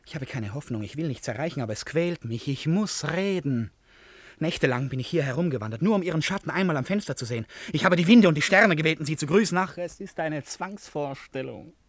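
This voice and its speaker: An actor playing a tormented, obsessive lovesick eccentric in 1950s German radio drama, swinging theatrically between murmurs and outbursts. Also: male voice, a little high, animated, a little fast, no room sound. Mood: yearning.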